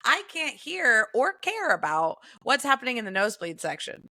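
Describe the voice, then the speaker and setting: A woman's voice: fairly high pitch, sing-song, moderate pace, sharp tone. A woman guest on an interview show, talking bluntly and casually, with some swearing. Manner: blunt, swears, vivid imagery. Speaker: guest, ADHD coach.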